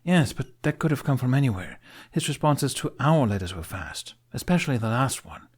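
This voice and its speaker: A man's voice: mid-range voice, big pitch swings, brisk, breathy edge, pitched above the performer's own voice. A man voicing an anxious, brooding young Viking for an audiobook, earnest and pointed in how he explains things. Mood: worried, insistent.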